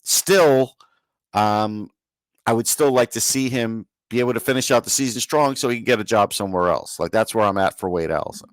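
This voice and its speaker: A man's voice: fairly low pitch, brisk, dry recording, poor audio. Blunt male podcast host, dry close sound. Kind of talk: casual hockey discussion.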